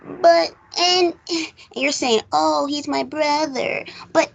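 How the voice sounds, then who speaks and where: Woman, very creaky voice, raised pitch, casual movie talk show host, rambling and conversational. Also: slow. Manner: rambling, conversational, thinking aloud. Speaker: host of a film recap show. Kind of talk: casual film discussion.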